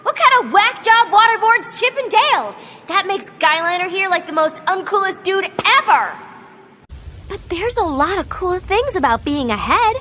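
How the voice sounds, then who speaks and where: Very high-pitched perky cheerleader. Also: female voice, animated, normal speed, background noise. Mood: perky, indignant. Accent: American. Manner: bubbly, breezy valley-girl talk. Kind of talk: animated game character acting.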